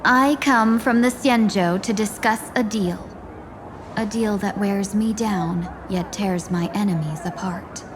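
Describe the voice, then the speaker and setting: A woman playing a cool, delicate-looking merchant who poses as a deadly assassin in a video game, speaking in a composed, measured way with veiled threats. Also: medium pitch, background noise, close to an everyday voice. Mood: cool menace. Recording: loud background music.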